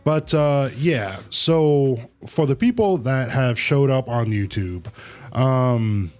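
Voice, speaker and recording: A man chatting casually to viewers as a livestreamer, halting and rambling, with easygoing slang. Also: medium pitch, expressive, a little mellow. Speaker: live streamer, host of own channel. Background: music.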